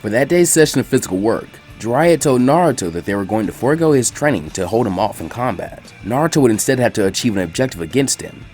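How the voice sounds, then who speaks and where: A man reading a story aloud as an animated narrator. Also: medium pitch, fast, few pauses, faint music behind. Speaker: fan fiction reader.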